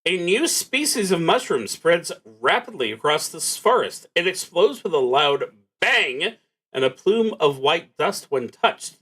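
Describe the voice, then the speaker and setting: A man playing a solo tabletop roleplaying game on a stream, reading prompts aloud and thinking out loud in a halting, musing way. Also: on the high side, rough recording.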